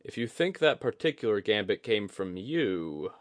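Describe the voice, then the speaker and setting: A man voicing a composed, shrewd young commander in a fantasy audiobook, measured, courteous and dryly humorous. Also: fairly low pitch, big pitch swings, no echo. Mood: amused. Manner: measured, well-spoken, dryly amused. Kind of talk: audiobook fantasy character acting.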